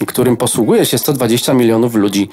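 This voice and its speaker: A woman's voice: a bass voice, a little fast, a little mellow, a little hiss or hum. A woman playing a boastful, chatty computer network card in a children's radio play, condescendingly polite. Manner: condescendingly polite, showing off facts.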